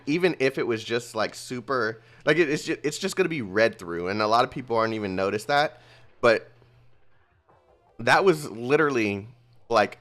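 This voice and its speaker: A young male streamer reacts to a story game and rambles to his chat. His voice is excitable and animated, swinging up and down in pitch. Faint music runs behind him. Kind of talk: casual livestream commentary.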